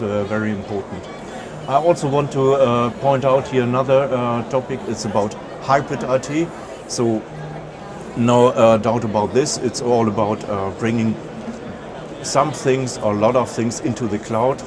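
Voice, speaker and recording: A man from Germany with a German accent, giving a conference presentation, pausing often with filler sounds and restarting sentences. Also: mid-range voice, noisy background. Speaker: conference speaker.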